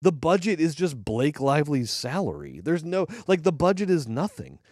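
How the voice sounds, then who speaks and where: A man's voice: fairly high pitch, big pitch swings, moderate pace, a little mellow, no room sound, clean studio sound. A man co-hosting a movie podcast, talking casually with lots of fillers, restarts and swearing. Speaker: podcast co-host.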